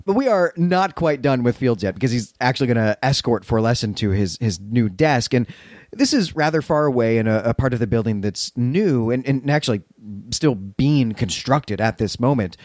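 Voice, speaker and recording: A man's voice: medium pitch, lively intonation, moderate pace, a little mellow, no room sound, studio quality. A man hosting a podcast, recapping and commenting on a story in a conversational, explanatory way, stressing key words. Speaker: podcast host.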